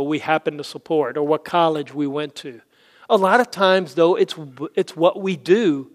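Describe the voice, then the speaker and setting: A man preaching a sermon, his slightly high voice rising on key phrases and then falling soft. The sound carries a long hall reverb, as in a church sanctuary. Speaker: pastor.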